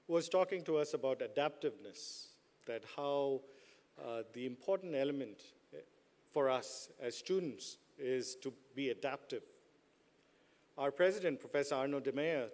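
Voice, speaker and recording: A middle-aged man giving a commencement address from a podium in a large hall, mixing playful jokes with the audience into his speech. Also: medium pitch. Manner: joking, playful asides to the audience. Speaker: business school dean giving a commencement speech. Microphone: podium microphones.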